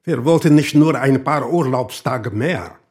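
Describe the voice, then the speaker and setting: An actor playing a proud, embittered older former guest worker in a radio drama, earnest and emphatic. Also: male voice, mid-range voice, unhurried, darkish tone, close to an everyday voice. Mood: proud, bitter.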